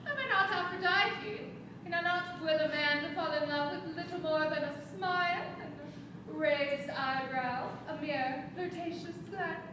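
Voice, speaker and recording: An actress playing a vain, seductive goddess of love in a stage play, with regal, languid declamation. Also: female voice, very high-pitched, a little slow. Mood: confident, vain. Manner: regal, self-adoring, languid theatrical declamation. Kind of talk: projected live stage play acting. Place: theater stage, recorded from afar. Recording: distant, echoey theater recording with background noise.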